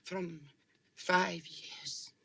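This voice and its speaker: A woman playing a timid, pious missionary who speaks with a Swedish accent and is not a native English speaker, with halting, hesitant speech full of fillers. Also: fairly low pitch, level tone, gravelly, pushed and bright, slight background noise, heavily acted. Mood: nervous, halting. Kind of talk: film dialogue.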